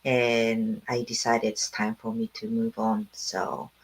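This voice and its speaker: Low-voiced middle-aged woman from Japan. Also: flat delivery, takes it slowly, breathy edge, rough recording. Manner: soft-spoken, laughs often, simple phrasing. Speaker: interview guest, online business owner. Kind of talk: casual video interview.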